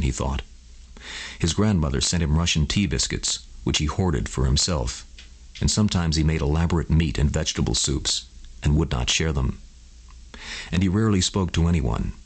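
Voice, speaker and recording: A man tells a scripted bedtime story for adults. His voice sits extremely low in pitch and has a husky, rough-edged texture. He delivers the prose as a narrator reading to listeners. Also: a little mellow.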